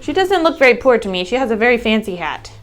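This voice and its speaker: A woman's voice: on the high side, big pitch swings, fast. A woman teacher reading a story aloud to young children, explaining words in simple phrases and asking questions.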